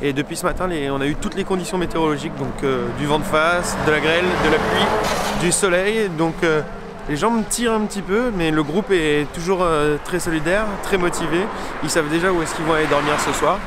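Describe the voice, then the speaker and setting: A male reporter with a slightly high voice describes the scene while walking outdoors. His delivery is plain and descriptive, and clear background noise surrounds him. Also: brisk. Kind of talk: on-the-spot reporting.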